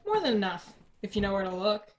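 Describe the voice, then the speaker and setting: A woman's voice: mid-range voice, big pitch swings, fast talker, a little hiss or hum, close to an everyday voice. A woman playing a sly thief in a radio play, teasing and mock-genteel. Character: sly, mocking thief picking over a dead miser's belongings. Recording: home video call with music behind.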